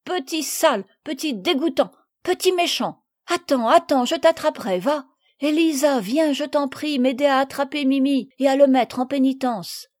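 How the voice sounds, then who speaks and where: A woman reading an audiobook, voicing a tender-hearted little girl with a high, eager childlike voice. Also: normal speed, mellow. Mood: scolding. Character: sweet, tender-hearted little girl who spoils her bird.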